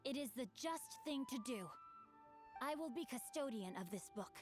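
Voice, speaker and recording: A young, bookish swordsman who speaks formally and courteously. The voice sits a little high. Faint game music plays behind. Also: lively intonation, moderate pace. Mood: earnest. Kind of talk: video game voice acting.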